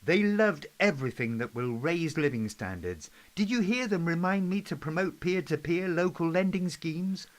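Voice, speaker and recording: Man acting a lively, lilting Prime Minister. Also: on the high side, normal speed, few pauses, lightly acted. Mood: conversational. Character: British Prime Minister, polite, folksy, addressing a rowdy crowd. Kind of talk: amateur play script performance.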